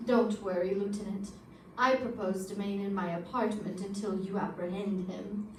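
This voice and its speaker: Anxious businessman , played by a woman: formal, middle pitch, radio drama, roomy sound. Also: fairly even intonation, normal speed, a little mellow, lightly acted. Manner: formal, precise. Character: anxious businessman in fear of a killer. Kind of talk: old-time radio detective drama performed live. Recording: echoey, distant, noisy room.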